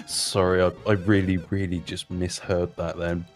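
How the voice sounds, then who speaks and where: A South African man with a very deep voice jokes around on a live stream. He laughs easily and stops and restarts his sentences. Faint music runs in the background. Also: sharp tone. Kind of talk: casual stream chat.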